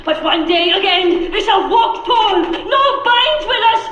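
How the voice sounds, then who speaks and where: A woman's voice: very high voice, lively intonation, unhurried, fairly bright tone, full character voice. An actress playing a defiant young German woman held prisoner in wartime, in a video game. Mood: fervent chanting. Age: young adult. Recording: very echoey, faintly noisy memory tape.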